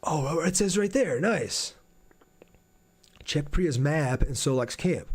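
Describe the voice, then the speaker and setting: A man's voice: fairly high pitch, lively intonation, slow, breathy edge. A man livestreaming a video game, chatting casually with viewers and thinking aloud as he plays. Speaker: game streamer. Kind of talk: casual livestream gameplay commentary with chat replies.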